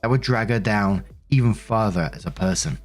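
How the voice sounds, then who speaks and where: A man with a fairly low voice reads online stories aloud as a host. His delivery is that of a narrator who adds casual commentary. Music plays behind him. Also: a little fast.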